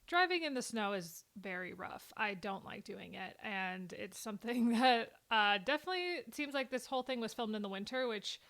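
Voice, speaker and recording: A young woman with a mild Minnesotan accent talks through a film in a casual, chatty way. Her voice sits a little high and comes across clean and smooth. She sounds upbeat as she explains things. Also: animated, moderate pace, hardly any pauses, fairly bright tone. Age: late 20s. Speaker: vlogger reacting to a film. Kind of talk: casual commentary.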